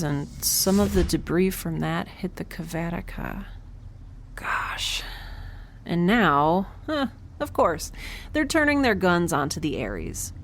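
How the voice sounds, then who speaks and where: A woman's voice: lowish voice, a little hiss or hum. A woman playing a quirky, nervous scientist aboard a spaceship in an audio drama, rambling with sarcastic, self-deprecating humor. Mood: wry, tense. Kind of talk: conversational audio drama acting.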